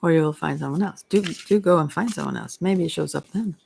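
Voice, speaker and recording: A woman with a creaky voice giving an ASMR tarot reading in a hesitant, rambling, casual way, often trailing off. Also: lowish voice, a little fast, a little mellow, slight background noise. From Sweden. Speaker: tarot reader, ASMR creator.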